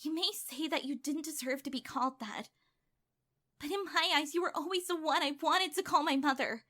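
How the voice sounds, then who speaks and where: A woman's voice: high-pitched, moderate pace, a bit bright. A woman playing a distraught, loyal young heroine with emotional, pleading delivery in an amateur audio drama.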